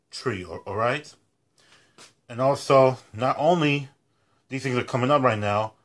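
A man's voice: medium pitch, a bit bright. A young man hosting a home cooking show, making a casual announcement to the camera from his kitchen, leaning on repeated catchphrases. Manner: repetitive, emphatic catchphrases. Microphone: built-in camera mic at close range.

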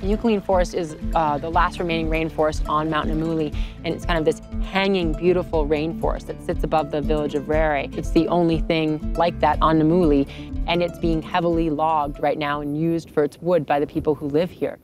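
A woman's voice: low voice, steady tone, brisk, over music. A woman in her thirties, an expedition member, speaking fluently and enthusiastically in a documentary interview outdoors on a mountainside.

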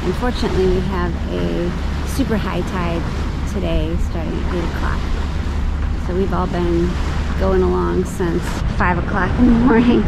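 Female sailing vlogger, excitable and chatty, casual delivery, recorded outdoors on a boat with loud wind and water noise. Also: on the low side, gravelly. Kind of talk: casual narrated travel vlog. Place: outdoors on a sailboat deck at sea.

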